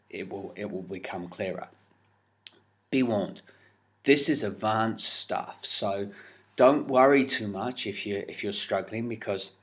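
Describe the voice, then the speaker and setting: A man's voice: lowish voice, fairly bright tone. A man teaching a science lesson in an explanatory video, patiently walking through each step with frequent fillers.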